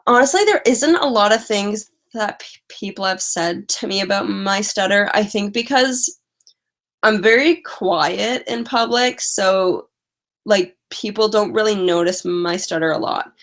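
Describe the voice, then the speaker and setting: A woman's voice: mid-range voice, measured pace, fairly bright tone. A young woman vlogger chatting casually on a live stream, stuttering and repeating the first sounds of words.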